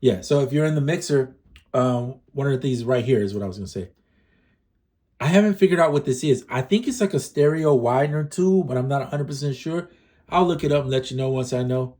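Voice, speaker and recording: Mellow-voiced man rambling through a gear demo. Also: mid-range voice, brisk. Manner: rambling, self-correcting, hesitant fillers. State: slightly congested. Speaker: music gear YouTuber. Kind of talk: casual gear demo talk.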